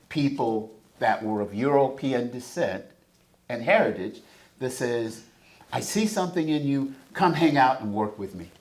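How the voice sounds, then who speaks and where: A man's voice: medium pitch, darkish tone. A man from the American South, speaking as a panelist with emphatic, preacher-like build-ups. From Southern United States. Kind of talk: panel discussion. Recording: echoey conference room.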